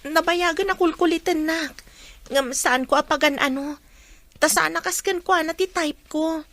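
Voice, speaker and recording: A frank young woman in a Filipino radio drama. Her voice is very high and sharp, close and dry, with an emphatic, dismissive delivery. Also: moderate pace, no echo. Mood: frank, annoyed. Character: frank, disdainful young woman pestered by unwanted suitor.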